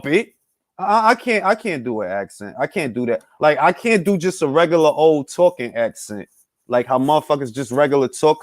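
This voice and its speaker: A man's voice: on the high side, dry recording, studio quality. A young man hosting a casual boxing talk stream, bantering with loose, profane energy. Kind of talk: casual live-stream chat with viewers.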